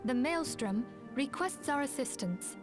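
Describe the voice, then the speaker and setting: A composed, earnest female leader from a fantasy game. Her voice is a little high, and she delivers formal, measured briefings over faint music. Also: animated. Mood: grave, composed. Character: composed, earnest woman leading a band of heroes. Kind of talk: scripted fantasy game dialogue.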